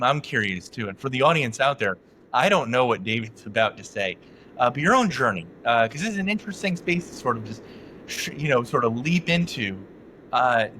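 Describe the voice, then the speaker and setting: Bright-voiced, wordy interview host. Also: male voice, fairly low pitch, slight background noise. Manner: wordy, rambling questions with fillers. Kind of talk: interview hosting.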